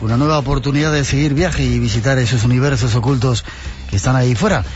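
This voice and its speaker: A man hosting a late-night radio show from a studio, with brisk, welcoming broadcaster delivery. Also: mid-range voice, fairly even intonation. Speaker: radio host. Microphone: studio microphone. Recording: slightly dull broadcast audio. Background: music bed.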